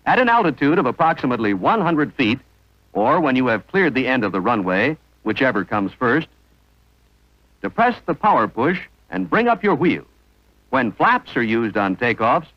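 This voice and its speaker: A man's voice: medium pitch, no room sound, lo-fi sound. A man narrating an instructional training film in a formal, precise manner on a vintage soundtrack.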